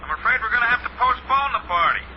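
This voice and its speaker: A sly prankster neighbor. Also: male voice, very high-pitched, expressive, quick pace. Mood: sheepish. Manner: breezy, hesitant fake apology. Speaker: radio sitcom supporting player. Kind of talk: 1950s radio sitcom acting. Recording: dull old radio transcription with hiss.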